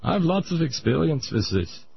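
A man voicing a pompous old male Viennese psychoanalyst, lecturing in a smug, drawn-out way. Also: on the high side, very animated, gravelly, breathy edge, lo-fi sound, heavily acted.

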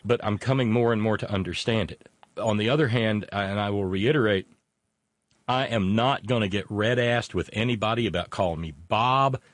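A man in his late fifties talking candidly as a show host, halting yet emphatic, with folksy colloquial phrasing. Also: fairly low pitch, no echo. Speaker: show host taking a call. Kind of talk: candid personal conversation.

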